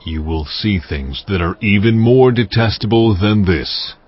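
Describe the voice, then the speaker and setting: A man voicing God in a dramatized scripture reading, slow and solemn with stern pronouncements. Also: lowish voice, animated, breathy edge. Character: stern, commanding voice of God, ancient male deity. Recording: dull lo-fi audio with music bed. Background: music.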